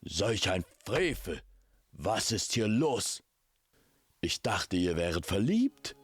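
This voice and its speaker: Man acting an angry, very deep-voiced king. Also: sing-song, slow pace, breathy edge, dry recording, exaggerated voice. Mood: indignant. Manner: indignant, commanding. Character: angry, blustering royal father and king.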